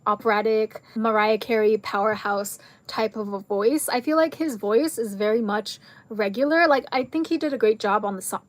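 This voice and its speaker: A woman with a fairly high voice talks casually to camera, rambling from one tangent to the next and leaning on fillers. Her voice is fading and a little hoarse. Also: moderate pace. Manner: chatty, rambling, jumps between tangents; frequent fillers like "like" and "um". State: losing her voice. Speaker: video creator reviewing a film.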